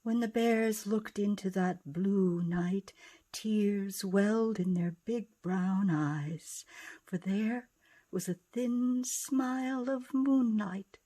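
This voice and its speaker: A woman narrates a children's picture book in rhyming verse. She takes it very slowly, at a medium pitch, with dramatic expression and dips toward a hush. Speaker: author and narrator.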